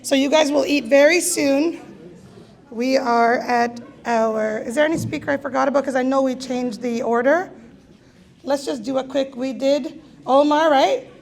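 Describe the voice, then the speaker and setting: A woman emceeing a gala and calling raffle numbers, with a raspy voice and a playful, teasing manner. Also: sits high, normal speed, darkish tone. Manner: playful, teasing, jokey asides. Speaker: event host calling raffle draws. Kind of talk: live emcee banter at a gala.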